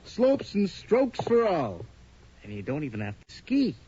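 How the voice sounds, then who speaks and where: A man's voice: fairly high pitch, wildly swooping pitch, unhurried, warm tone, husky, heavily acted. A young actor playing a cocky, teasing male college roommate in a radio drama. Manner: joking, teasing, brash. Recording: dull old radio broadcast.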